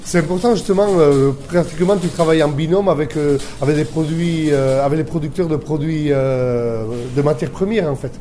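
A man's voice: on the high side, fairly quick, hardly any pauses, mellow, a little hiss or hum. A man interviewing a chef, often stopping and rephrasing his questions.

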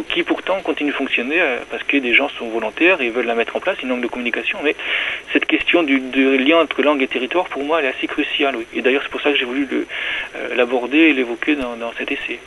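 A man's voice: medium pitch, quick pace, bright, forceful tone. A man speaking as an interviewed academic and teacher, explaining his views in an articulate, didactic way with emphatic repetition. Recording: dull lo-fi radio sound, faint noise.

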